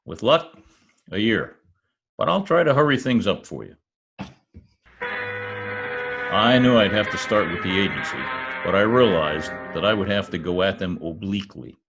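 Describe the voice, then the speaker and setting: A man playing a wisecracking private detective in an old-time radio play, with dry, deadpan quips. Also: fairly low pitch, unhurried, long pauses, soft background music, exaggerated voice. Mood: wry. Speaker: actor in an amateur radio-play reenactment. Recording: remote home recording over video call.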